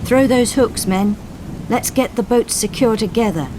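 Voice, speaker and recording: A man's voice: high-pitched, measured pace, noisy background, pitched above the performer's own voice. Woman acting an urgent, bellowing lifeboat coxswain in a storm. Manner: urgent, shouted commands. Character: commanding lifeboat coxswain bellowing orders to his crew. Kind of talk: dramatic storytelling. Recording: storm sound effects behind voice.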